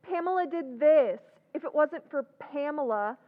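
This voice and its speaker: Tearful young sister, very high voice, slow, tinny sound, radio drama. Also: female voice, expressive. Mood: hysterical. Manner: sobbing, hysterical outbursts. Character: Kathy, distraught young sister, brittle and manipulated. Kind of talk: 1940s radio acting. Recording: tinny narrow-band recording.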